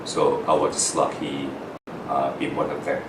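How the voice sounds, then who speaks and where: Man from Taiwan, halting speech full of fillers, steady hum of air conditioning behind, echoey room. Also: extremely low pitch, far from the mic. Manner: halting, frequent "uh" fillers and restarts. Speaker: interviewee, foreign-trained doctor. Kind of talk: earnest personal account to camera.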